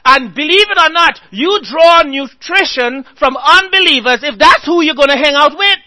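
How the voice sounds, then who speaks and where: A man preaching a sermon, earnestly exhorting his listeners and reading scripture aloud slowly. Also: extremely high pitch, animated, sharp tone.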